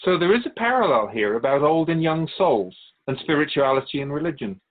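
A man playing a wise spirit who keeps souls in a radio drama, teaching in measured explanations with pointed asides. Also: on the high side, sing-song, phone-quality sound. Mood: pleased, teaching. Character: ancient male spirit Keeper of Souls, wise storytelling teacher. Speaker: interviewed guest. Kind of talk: scripted radio drama dialogue.

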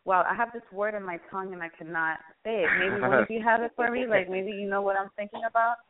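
A woman's voice: medium pitch, fairly even intonation, fast talker, very noisy. Phone-like voice of a rambling woman host. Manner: rambling, searches for words. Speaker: interviewer, radio-style show host. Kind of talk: casual chat. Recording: thin phone-like band-limited sound.

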